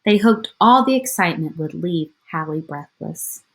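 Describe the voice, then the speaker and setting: A woman with a fairly low voice reads a children's picture book aloud. She tells it expressively, as a teacher would, and speaks to her young listeners in simple, chatty asides. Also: expressive, normal speed, poor audio. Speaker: elementary school teacher reading aloud.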